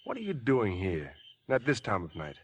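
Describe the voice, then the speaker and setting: A man's voice: lowish voice, wildly swooping pitch, normal speed, breathy edge, exaggerated voice. An actor playing an alarmed, indignant man caught in a murder plot in a 1950s radio drama. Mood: wary. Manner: tense, indignant, clipped demands. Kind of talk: 1950s radio suspense acting.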